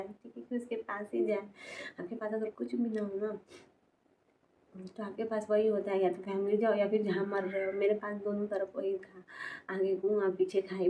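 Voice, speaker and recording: A woman in her twenties talking personally to camera as a vlogger, halting and repetitive, often trailing off mid-sentence, in a tinny, phone-like recording. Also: on the high side, mellow. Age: 20s. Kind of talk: personal confessional talk to camera. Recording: tinny phone-like sound, noisy background.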